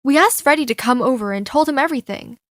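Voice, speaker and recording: A woman narrating an animated story as a fan dub, expressive, theatrical and sassy. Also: sits high, fast, no echo, clean studio sound. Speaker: fan dubber narrating and voicing an animated teen.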